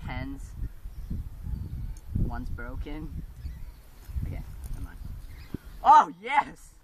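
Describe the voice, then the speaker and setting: A man's voice: medium pitch, very slow. A teenage boy doing a goofy mock survival tutorial outdoors in a park. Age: teens. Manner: goofy deadpan comic patter. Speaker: comedy video maker. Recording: distant camera mic, loud outdoor noise.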